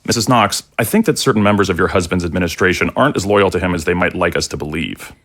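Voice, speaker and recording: Guy playing a serious army general in an audio drama, really deep, measured, careful with words. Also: fairly bright tone. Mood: grave, confiding. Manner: formal, measured, confiding. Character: grave, guarded older male military general, quietly loyal.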